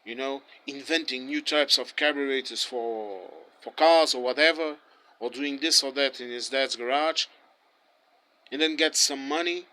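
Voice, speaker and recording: Slow, bright-voiced man ranting. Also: medium pitch, low-quality recording. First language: Portuguese and German. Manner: heated, ranting, many restarts. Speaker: interviewee. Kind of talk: impassioned street opinion.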